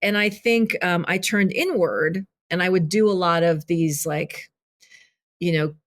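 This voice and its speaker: A woman whose first language is Arabic, a filmmaker and author speaking as a podcast guest, talking reflectively with many restarts. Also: on the low side. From grew up in Kentucky, USA. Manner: reflective, halting, many restarts and "you know". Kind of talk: casual interview conversation.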